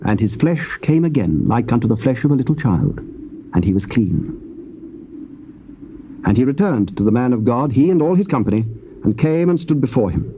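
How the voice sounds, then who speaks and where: An English man reading Bible narration in a steady, formal way on a lo-fi tape. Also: medium pitch, normal speed, long pauses, slight background noise. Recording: dull lo-fi tape.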